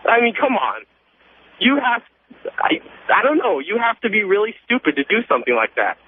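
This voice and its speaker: A man's voice: sits high, very animated, normal speed, slightly rough. Cocky young American guy on a phone line. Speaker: radio show caller. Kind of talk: casual phone-in chat. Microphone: telephone. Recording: narrow noisy phone line on radio.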